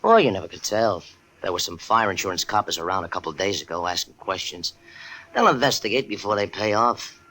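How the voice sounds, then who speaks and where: Bright, swooping, sly pool-room hustler. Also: male voice, low-pitched, expressive, a little fast, full character voice, poor audio. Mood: probing. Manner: slangy, taunting. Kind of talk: radio suspense acting.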